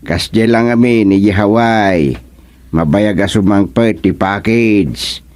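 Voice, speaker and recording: Slow-talking, folksy Filipino village gossip with Ilocano accent. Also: male voice, fairly low pitch, darkish tone. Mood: chatty. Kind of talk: Ilocano radio comedy drama dialogue.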